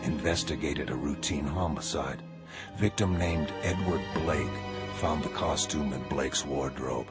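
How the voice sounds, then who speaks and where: A grim masked vigilante with an extremely deep, gravelly growl. He speaks slowly in clipped, bitter, deadpan fragments, like dark comic-book noir, over loud music. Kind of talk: dark noir motion-comic narration and dialogue.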